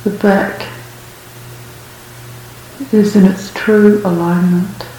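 A woman leading a guided meditation as a teacher, speaking calmly and unhurriedly with long pauses between phrases. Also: mid-range voice. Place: large room at a retreat center. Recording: very echoey room, faint hiss.

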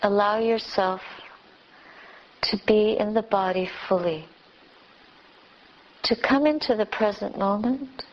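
A woman teacher leading a guided meditation with gentle instructions in short, spaced phrases. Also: medium pitch, slow pace, pauses often. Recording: dull, lo-fi recording.

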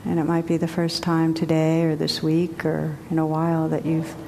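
A woman teacher guiding a group meditation in a gentle, unhurried way with long pauses. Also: monotone, vocal fry. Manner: slow, gentle, spacious pauses. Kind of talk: guided meditation. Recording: long hall reverb, faint rumble.

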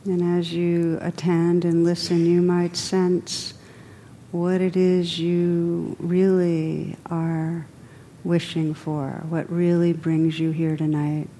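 Woman guiding meditation, lowish mellow voice, gentle and unhurried with pauses, little pitch movement. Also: completely flat delivery, a little hiss or hum. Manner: gentle, unhurried, pausing between phrases. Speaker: meditation teacher. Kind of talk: guided meditation.

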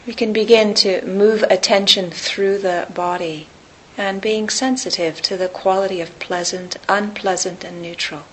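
A woman teaching a guided meditation in a calm, unhurried, gentle manner with long pauses. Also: medium pitch, poor audio. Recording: hissy recording with clear background noise.

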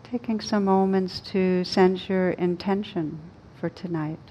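A woman meditation teacher leading a slow guided meditation in a gentle, soothing, unhurried manner with long pauses. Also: steady tone. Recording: dull lo-fi recording with rumbling background noise.

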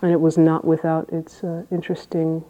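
A woman Zen teacher with a low voice, giving an unhurried dharma talk with halting phrases and long pauses. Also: completely flat delivery, dark tone, muffled recording.

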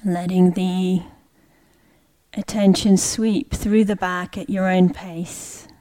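A woman leading a guided meditation, speaking gently in short, unhurried phrases with long pauses. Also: lowish voice, little pitch movement. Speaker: meditation teacher.

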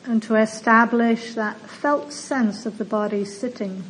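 A woman meditation teacher leading a guided meditation in a gentle, soothing manner, with long pauses between slow phrases. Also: fairly high pitch, steady tone, low-quality recording. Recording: clear background noise.

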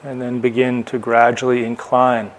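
A man giving guided meditation instruction as a teacher, speaking in short, unhurried phrases with long pauses. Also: medium pitch, monotone. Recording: clear background noise, room ambience.

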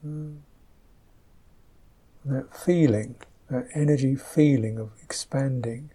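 A man teaching a guided meditation, speaking softly and gently in unhurried, halting phrases, with a slightly husky voice. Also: mid-range voice.

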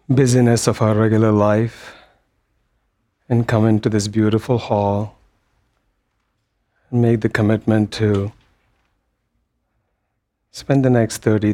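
A man leading a guided meditation as a teacher, speaking gently in short phrases with long pauses between them. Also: lowish voice. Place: meditation hall.

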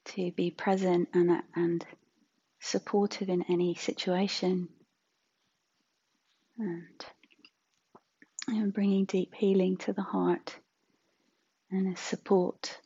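Slow, hushed woman guiding meditation. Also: fairly low pitch, flat delivery, breathy edge, muffled recording. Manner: gentle, unhurried, with long pauses. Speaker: meditation teacher. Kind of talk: guided meditation talk.

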